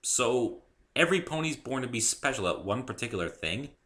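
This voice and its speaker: A man's voice: mid-range voice, big pitch swings, moderate pace, fairly bright tone, voice pushed up. A man voicing a polite, unsure young geeky man in a story reading, speaking in a hesitant, earnest way. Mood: curious.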